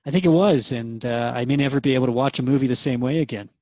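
A man's voice: medium pitch, quick pace, mellow, no room sound, dull audio, natural voice. A man playing a modest, earnest radio interviewer in a scripted radio drama. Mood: thoughtful. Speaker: radio show host and interviewer.

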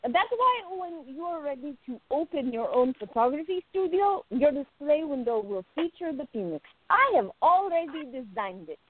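A woman playing a playful, affectionate young artist in love in a radio play, with a teasing, expressive delivery. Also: high-pitched, normal speed, few pauses, dry recording. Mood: tender, teasing. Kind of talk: amateur radio play acting. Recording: thin phone-like audio, dry.

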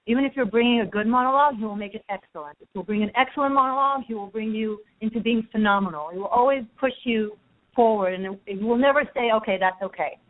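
A young Israeli-born woman, an acting student, calling in to a radio show and talking earnestly and upbeat about her classes. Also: mid-range voice. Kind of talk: casual phone interview. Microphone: telephone. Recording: tinny phone line.

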